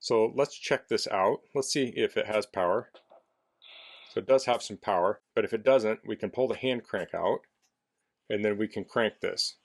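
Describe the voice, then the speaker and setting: A man's voice: lowish voice, normal speed, vocal fry. A man casually demonstrating a product indoors in a basement, explaining things in a plainspoken way as a reviewer.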